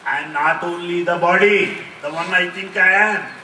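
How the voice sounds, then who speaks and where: A middle-aged man answering audience questions in a lively talk, repeating key phrases and laughing often. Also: high-pitched, moderate pace. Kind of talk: lively lecture with jokes. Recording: echoey hall, distant mic, faint hiss.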